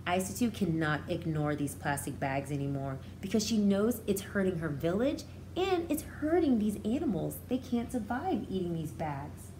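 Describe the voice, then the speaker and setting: A woman teacher reading a storybook aloud to young children, expressively, pausing to explain it in simple, repeated words. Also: fairly low pitch, very animated, mellow, a little hiss or hum, rough recording.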